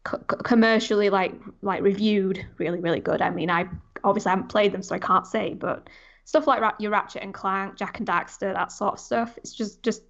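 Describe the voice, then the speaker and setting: A woman's voice: medium pitch, steady tone, brisk, vocal fry, lo-fi sound. A young woman chatting casually and informally on a gaming podcast, rambling as she goes. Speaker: podcast panelist.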